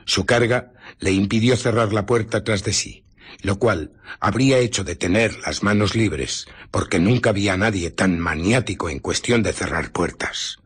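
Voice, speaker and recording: A deep, very raspy voice of an embittered scientist in hiding. He tells his furtive story deliberately, with dramatic pauses and lapses into breathy whispering, in the manner of radio theatre. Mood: frustrated, tense. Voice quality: husky, breathy voice slipping into whispers. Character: Griffin, embittered invisible man scientist recounting his furtive exploits. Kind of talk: radio drama narration and dialogue.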